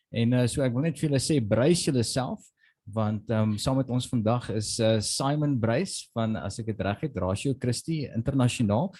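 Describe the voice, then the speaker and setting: Man, event host, mellow warm tone, dry sound, hesitant with many filler pauses. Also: mid-range voice, no echo. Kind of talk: event introduction.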